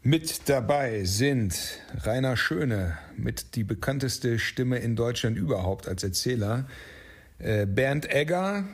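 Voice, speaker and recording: A man with a slightly husky voice giving explanatory answers as an interview guest. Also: medium pitch, animated, slow pace. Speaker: interview guest, audio drama writer.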